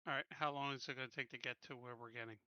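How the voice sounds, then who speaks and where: A man's voice: mid-range voice, steady tone, racing, sharp tone, dry recording, lo-fi sound. A man chatting casually as a tabletop game player, blunt and plain-spoken.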